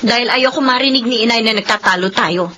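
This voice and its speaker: A woman's voice: fairly high pitch, quick pace, pushed and bright, a little hiss or hum. A woman playing a weary, exasperated elder sister in a radio drama, earnest and reproachful, with a Filipino accent. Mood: indignant.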